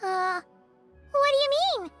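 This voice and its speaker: Squeaky, tiny childlike companion creature. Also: female voice, expressive, measured pace, fairly bright tone, soft background music, heavily acted. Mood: surprised, worried. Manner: squeaky, hesitant questioning. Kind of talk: cartoonish video game dialogue.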